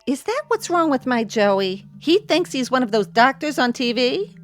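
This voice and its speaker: A wisecracking, plain-spoken housewife in a radio play. Her voice is fairly high and very animated, sardonic and folksy, with faint music underneath. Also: moderate pace. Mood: skeptical, concerned. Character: wisecracking, plain-spoken working-class housewife, exasperated with husband. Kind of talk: radio drama acting.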